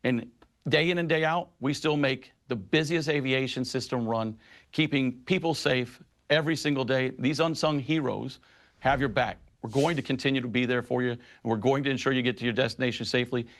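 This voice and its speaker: A man's voice: medium pitch, fairly quick. A middle-aged man speaking as a union president in a news interview, making his case with firm, emphatic conviction. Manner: impassioned, emphatic advocacy.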